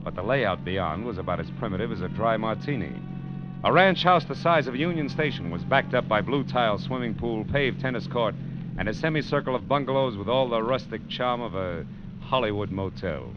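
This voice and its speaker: A man's voice: mid-range voice, lively intonation, a little fast, lightly acted. An American actor playing a world-weary, wisecracking male private detective in a hard-boiled, sardonic old radio drama style. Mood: wry, world-weary. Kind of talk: 1940s-50s American radio detective drama acting and narration. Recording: dull vintage radio recording, loud music behind.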